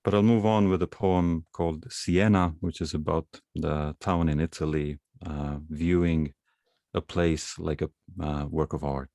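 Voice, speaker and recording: Very deep, slow Estonian-accented man. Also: fairly even intonation, darkish tone, dry recording, studio quality. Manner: slow, measured, hesitant between poems. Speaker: guest poet. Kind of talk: poetry reading.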